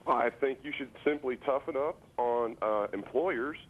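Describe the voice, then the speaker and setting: A man phoning a call-in show, speaking casually and haltingly, repeating words as he insistently makes his point. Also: mid-range voice. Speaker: radio and TV phone-in caller. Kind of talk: casual opinion and anecdote on a call-in. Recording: voice over phone line to broadcast.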